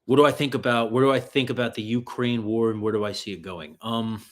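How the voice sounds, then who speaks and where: Brief: man from the New York tri-state area with a faint local accent, casual cursing stream host, mid pitch. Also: fairly even intonation, a little fast. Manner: loose and profane, rambling with fillers. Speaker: livestream host answering viewer questions. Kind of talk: casual livestream Q&A.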